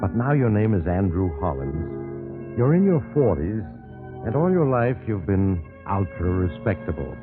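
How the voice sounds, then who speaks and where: A man's voice: sits low, very animated, unhurried, music in the background. A man playing a genial but sinister mysterious traveler, narrating an old radio mystery in a wry, theatrical manner. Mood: ominous, inviting. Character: mysterious male train traveler, genial, sinister teller of macabre tales. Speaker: narrator and host of mystery drama. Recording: muffled, noisy old radio recording.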